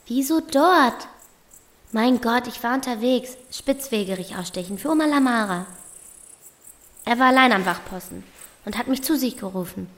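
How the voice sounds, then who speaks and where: A woman's voice: fairly high pitch, lively intonation, normal speed, fairly bright tone. A woman playing a brash, defiant thirteen-year-old girl in a radio play, with a pushy, snappy, slangy way of talking. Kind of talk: German radio play acting.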